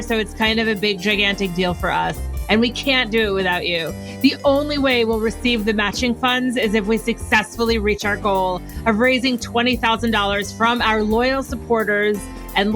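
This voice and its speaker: A woman's voice: on the high side, a bit bright. A woman podcast host reading a scripted appeal in an upbeat, earnest way.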